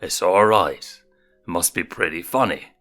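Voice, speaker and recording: A man reads a sly young lion cub in a storybook tale, with a fairly low voice. He is dry, mocking and easily irritated. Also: a little slow, a bit bright, soft background music. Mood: teasing.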